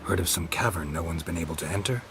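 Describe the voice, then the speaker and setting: Deep gravelly monster hunter. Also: male voice, fast, music in the background. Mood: casual, dry. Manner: terse, deadpan. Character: laconic gruff male monster hunter, dry and weary. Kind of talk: video game voice acting.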